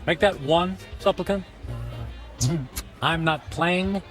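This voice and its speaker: A man's voice: on the high side, slow pace, soft background music. An actor playing a smug, ruthless, self-absorbed businessman in a radio drama, sarcastic and dryly mocking. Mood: amused, dismissive.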